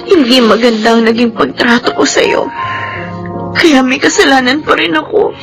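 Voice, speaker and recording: A woman's voice: high-pitched, moderate pace, over music. An actress playing an emotional young woman in a Filipino radio drama, pleading and melodramatic, with a Filipino accent. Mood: tearful, remorseful.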